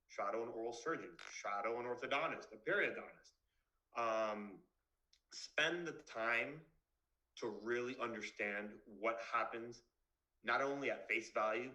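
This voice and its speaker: A man's voice: medium pitch, pushed and bright, poor audio. A man in his twenties from Miami, a dentist giving a blunt, casual talk to students over a video call. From Miami, Florida. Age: 20s. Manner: blunt, straightforward, casual with fillers. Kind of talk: online presentation to students.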